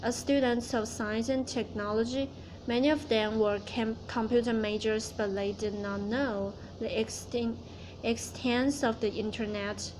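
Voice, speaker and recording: A woman's voice: a little high, fairly even intonation, a little slow, noisy background. A woman student giving a prepared class speech, reciting it carefully and restarting words she stumbles on.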